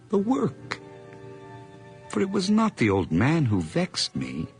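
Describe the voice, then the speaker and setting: An actor playing an obsessive hired man who calmly plots a murder in a radio drama, speaking in intense, measured tones that drop to whispers. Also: fairly low pitch, heavily acted. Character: obsessive young hired man, calm but unhinged narrator. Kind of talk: 1980s radio drama acting. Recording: vintage radio broadcast with faint music.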